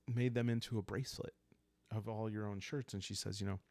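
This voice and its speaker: A man hosting a solo roleplay game playthrough, calm and soft-spoken, improvising the story and thinking aloud as he goes. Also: lowish voice, fairly quick, no echo. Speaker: host playing a solo journaling roleplay game.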